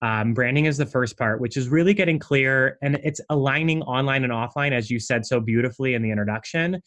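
A man speaking as a guest in a conversational interview, explaining his branding method with animated energy. His voice sits at an ordinary middle pitch for a man, and he drops in frequent casual asides as he talks. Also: brisk.

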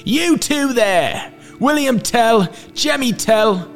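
Shrieking, scornful tyrant governor. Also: male voice, on the high side, sing-song, slow, sharp tone, soft background music, voice pushed up, exaggerated voice. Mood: imperious anger. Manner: imperious, screaming. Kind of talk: theatrical storybook reading.

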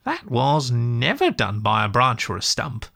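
A man voicing an excitable, eager male water rat in a storybook reading, with a high, shrill voice and impatient exclamations. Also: a little slow, vocal fry, heavily acted. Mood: kindly.

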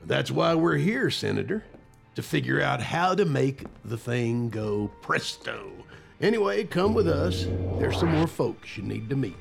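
A man's voice: mid-range voice, sing-song, measured pace, vocal fry, soft background music, full character voice. A man acting in an audio drama as a confident, enthusiastic time-travel scientist who explains things informally.

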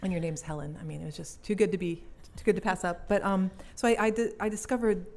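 A woman's voice: lowish voice, fairly even intonation, fast talker, vocal fry, a little mellow, slight background noise. Chatty, laughing woman from Belgium. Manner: chatty, laughs easily, self-deprecating. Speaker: cellist and musician guest. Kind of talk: casual interview chat.

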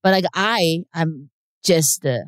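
A woman's voice: lowish voice, takes it slowly, dry recording, studio quality. A woman in her thirties from China with a Chinese accent, a comedian talking frankly on a podcast with many hesitations. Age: 30s. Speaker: comedian guest. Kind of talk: casual podcast chat.

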